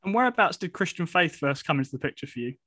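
A man's voice: on the high side, expressive, fast talker, sharp tone, no room sound. A man hosting a radio interview show, with a polished, upbeat presenter delivery in a studio. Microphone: studio mic.

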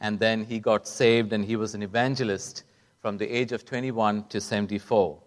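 A man's voice: fairly low pitch, fairly even intonation, moderate pace. A middle-aged man, a doctor telling his own story as a guest speaker on a conference stage. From Kuwait and South India. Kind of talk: public talk, personal storytelling.

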